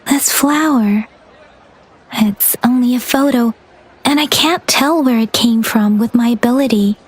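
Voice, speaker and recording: A woman voicing a gentle, thoughtful young woman in a video game, speaking in a polite, earnest, measured way. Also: on the high side, slightly rough. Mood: pondering. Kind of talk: video game character dialogue.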